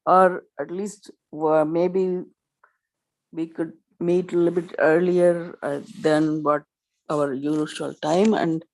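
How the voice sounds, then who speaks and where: A woman with a low voice, a member of a study group, talking hesitantly over a video call. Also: steady tone, slow, darkish tone, poor audio. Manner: hesitant, many fillers and restarts. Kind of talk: casual discussion.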